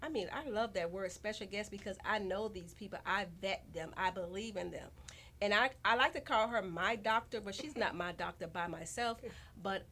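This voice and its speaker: A woman's voice: medium pitch, normal speed, bright, forceful tone. A woman hosting a talk show in a casual, informal and folksy way, addressing people effusively. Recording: noticeable background noise.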